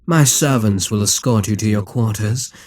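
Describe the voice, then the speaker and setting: A man playing a dominant, gloating Demon King in an intimate ASMR roleplay, smug and coaxing, with a breathy, husky voice that often drops toward a whisper. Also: lowish voice, moderate pace, darkish tone, no echo, close to an everyday voice. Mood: coolly triumphant. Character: dominant, gloating male Demon King toying with a captive hero.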